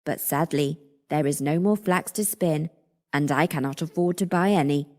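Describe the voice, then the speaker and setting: A man's voice: a little high, normal speed, darkish tone, pitched above the performer's own voice, close to an everyday voice. A storyteller voicing a scolding, dramatic peasant mother in a children's fairy tale. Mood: pleading, flattering.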